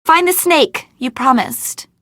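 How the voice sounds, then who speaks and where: A woman's voice: sits high, takes it slowly, a bit bright, dry recording, studio quality. A woman voicing a vain, ditzy young movie actress in an adventure game, gushing and theatrical in a gossipy way. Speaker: video game voice actor. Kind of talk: animated adventure game dialogue.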